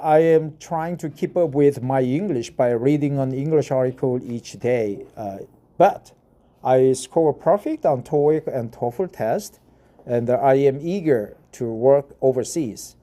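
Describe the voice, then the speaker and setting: A man in his fifties from Korea, with a Korean accent, reading an article aloud and commenting, halting with many fillers and repeated "right?"s. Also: mid-range voice, expressive, warm tone. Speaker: YouTube reader of articles.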